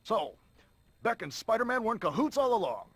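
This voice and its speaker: A man's voice: high-pitched, sing-song, brisk, a bit bright, husky, no echo, heavily acted. An actor playing a stingy, impatient newspaper publisher in a video game, barking his lines brusquely. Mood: impatient, gruff.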